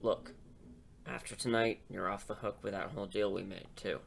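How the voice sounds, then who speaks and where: A man playing a dry, self-assured high school English teacher in an audio drama, talking in a casual, rambling way. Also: fairly even intonation, normal speed, creaky. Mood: reassuring.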